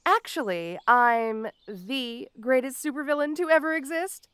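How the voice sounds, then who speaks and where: A woman playing a petty, obsessed female supervillain in an audio roleplay, theatrical and taunting, with mock-sweet, drawn-out words. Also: high-pitched, a bit bright, clean tone, no room sound, studio quality. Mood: smug boasting. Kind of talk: scripted audio roleplay addressed to the listener.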